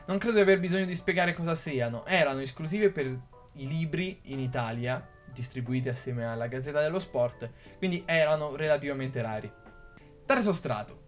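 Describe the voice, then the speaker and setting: A man's voice: on the high side, normal speed, soft background music. A young man hosting his channel, reading a script while feverish, hesitant, stumbling and trailing off. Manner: hesitant, restarts words, trails off quietly. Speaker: channel host explaining a meme.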